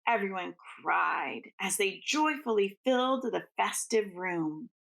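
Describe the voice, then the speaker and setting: Slow, creaky-voiced woman reading a storybook. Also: mid-range voice, animated, fairly bright tone. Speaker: school teacher reading aloud to students. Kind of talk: storybook read-aloud for children.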